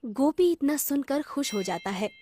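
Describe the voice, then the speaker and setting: Mellow, high-voiced woman storyteller. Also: expressive, moderate pace. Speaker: narrator. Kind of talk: scripted children's story narration.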